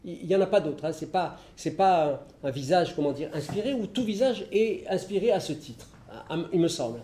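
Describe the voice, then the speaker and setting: Hesitant French lecturer in an echoey hall. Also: male voice, high-pitched, expressive, mellow. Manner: halting, many restarts and fillers, thinking aloud. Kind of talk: public philosophy lecture with questions.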